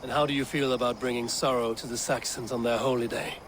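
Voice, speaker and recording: An actor playing a loyal, commanding Viking war leader in a video game, measured in manner. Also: male voice, mid-range voice, level tone, a bit bright, natural voice. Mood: steady, rallying. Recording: noisy outdoor ambience behind.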